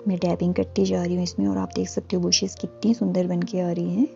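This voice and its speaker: Gravelly, mellow-voiced woman teaching painting. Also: fairly low pitch, dull audio, faint music behind. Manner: calm and instructive, with frequent pauses and fillers. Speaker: art teacher. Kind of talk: step-by-step painting tutorial voice-over. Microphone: voice-over, camera on hands and canvas.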